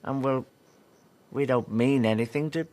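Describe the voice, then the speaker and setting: A man's voice: medium pitch, moderate pace, a little mellow, close to an everyday voice. An actor playing a young, troubled male comedian who is cynical and world-weary, in dramatic dialogue. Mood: bleak, agitated.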